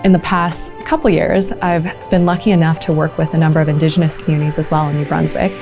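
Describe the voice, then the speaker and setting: A woman's voice: low voice, flat delivery, fast talker, music in the background. A woman from Malaysia talking earnestly about her work supporting entrepreneurs, with frequent ums and uhs. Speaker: entrepreneurship program leader.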